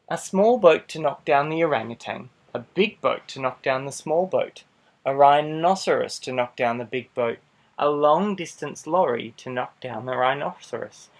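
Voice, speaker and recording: A man reading a children's picture book aloud in an animated style, with dramatic pauses and playful asides to young listeners. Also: medium pitch, vocal fry. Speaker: storybook reader.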